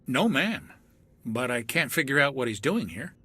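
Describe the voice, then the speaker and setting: A man's voice: medium pitch, sing-song, moderate pace, bright, forceful tone, breathy edge, full character voice. An actor playing a wary young male soldier in a video game cutscene, speaking in an earnest, suspicious, level-headed way.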